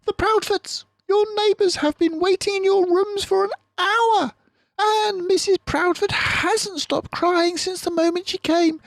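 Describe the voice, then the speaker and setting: A man's voice: extremely high pitch, steady tone, measured pace, gravelly, fairly bright tone, dry recording, pitched above the performer's own voice, full character voice. A man voicing a distraught female mouse housekeeper in a storybook, wailing as she talks. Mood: upset, wailing.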